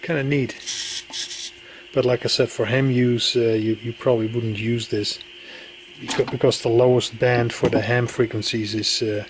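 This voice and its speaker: Male hobbyist demonstrator, mid-range voice, informal and slightly halting, some background noise. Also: mid-range voice, flat delivery. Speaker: radio enthusiast presenting equipment. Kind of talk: casual hands-on demonstration narration.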